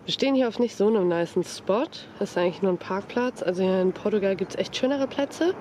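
A woman's voice: medium pitch, quick pace, dark tone, a little hiss or hum. Young woman vlogging outdoors, a bit sniffly. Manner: enthusiastic, casual slang. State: has a slight cold. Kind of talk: casual travel vlog chat. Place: outdoors on the coast.

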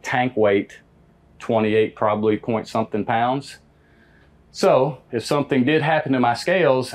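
A middle-pitched American man teaches as an experienced trade technician. He talks in a folksy, plain-spoken manner, walking through a hands-on demonstration step by step. The delivery is casual and practical. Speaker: HVAC technician instructor. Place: indoor parts warehouse. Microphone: lapel mic.

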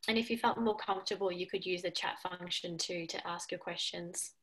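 A woman in her twenties giving a scripted presentation in a measured, explanatory way over a video call. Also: lowish voice, fairly even intonation, fairly bright tone, poor audio. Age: 20s. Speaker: student presenter. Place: small furnished room at home, indoors. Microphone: video call on a computer.

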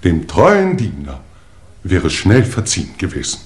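Pompous, swooping English lord. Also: male voice, sits low, huge pitch swings, a little slow, full character voice. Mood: self-justifying. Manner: formal, pompous, theatrical. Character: indebted English lord, pompous, self-justifying schemer. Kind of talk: 1950s German radio crime play acting. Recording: old radio play with music behind.

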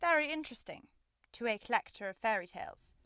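A woman playing a skeptical, dry-witted male detective in a radio drama, questioning crisply. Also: fairly high pitch, lively intonation, quick pace, bright, forceful tone, no echo. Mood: skeptical, curious.

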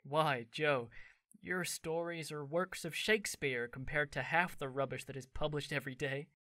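A woman's voice: sits low, fairly even intonation, moderate pace, bright, forceful tone, no room sound, studio quality. A woman playing a cheerful, teasing young man in an audio drama, speaking in a boyish, wheedling manner. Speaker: voice actor in an amateur audio drama. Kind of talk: theatrical audio drama acting.

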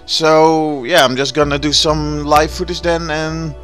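A Dutch man with a Dutch accent, a native Dutch speaker, giving relaxed, chatty commentary over gameplay and sometimes searching for words. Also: fairly high pitch, measured pace, faint music behind. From Netherlands. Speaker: gaming channel host.

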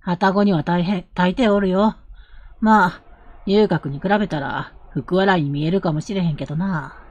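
A woman playing a frank, teasing older brother in a period voice drama, husky, with a Kansai accent. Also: lowish voice, moderate pace, darkish tone, muffled recording, lightly acted. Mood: wry, teasing. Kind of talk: online live voice drama acting.

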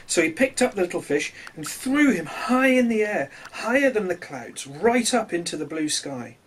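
A man's voice: high-pitched, big pitch swings, normal speed, slight background noise. A man in his thirties reading a children's storybook expressively, speaking simply to young listeners.

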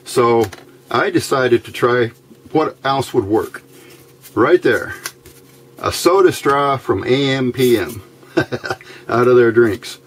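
A man with a medium-pitched voice talks through an electronics build at his workbench. He goes slowly and plainly, with halting explanations in an informal tutorial style. There is noticeable noise on the camera microphone recording. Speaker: electronics hobbyist demonstrating a build. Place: indoor workbench.

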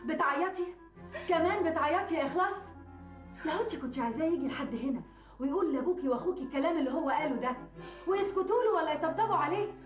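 An actress voicing an anxious Egyptian woman in a TV drama, high and quick as she scolds. The room adds a noticeable echo, with faint music below. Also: close to an everyday voice. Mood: reproachful. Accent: Egyptian Arabic. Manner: reproachful, scolding. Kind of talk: TV drama acting.

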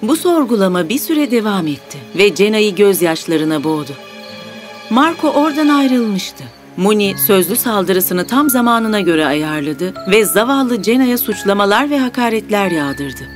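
A woman's voice: mid-range voice, very animated, normal speed. A woman narrating a scripted fairy tale as the storyteller. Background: background music.

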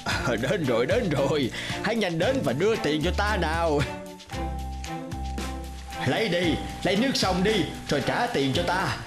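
A man playing a greedy, pompous fat rich man in an animated folk tale, with an animated delivery. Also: a little high, moderate pace, long pauses, over music, close to an everyday voice. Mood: gleeful.

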